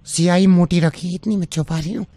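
Man playing a high-voiced gossipy aunt, giggly, confiding, dry close sound. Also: fairly even intonation, brisk, dark tone, no echo, natural voice. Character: gossipy middle-aged aunt, cheeky and secretive.

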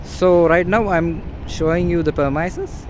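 A man's voice: fairly high pitch, unhurried, darkish tone, slight background noise. Man giving a phone warehouse tour. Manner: plain, repetitive pointing-out. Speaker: real estate agent. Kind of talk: walkthrough property tour. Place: large empty indoor warehouse. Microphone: phone held by the filming person.

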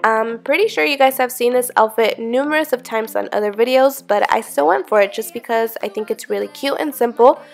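Young woman fashion vlogger, bit high, talking straight to camera; sounds slightly sick; faint music bed. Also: fairly quick, vocal fry, few pauses. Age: young adult. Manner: chatty and upbeat, with small slips and giggles. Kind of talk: casual vlog talk to camera. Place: small bedroom with string lights, indoors.

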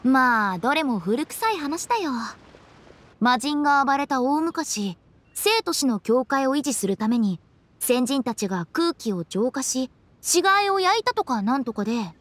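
Playful high-pitched young woman. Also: very animated, a little slow, fairly bright tone, full character voice. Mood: breezy storytelling. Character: playful, quirky young woman running a funeral parlor. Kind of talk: Japanese anime game voice acting. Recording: faint music behind the voice.

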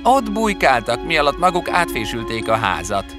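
An actor voicing a self-assured young boy detective in an animated story, explanatory and commanding. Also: mid-range voice, music in the background, close to an everyday voice. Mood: explaining, confident.

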